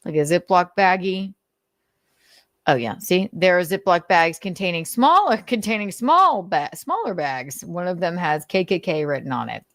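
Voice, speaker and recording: A woman's voice: lowish voice, expressive, normal speed, fairly bright tone, dry recording. A woman reading notes aloud with casual, sardonic commentary, swearing freely and talking conversationally. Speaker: host of a commentary show.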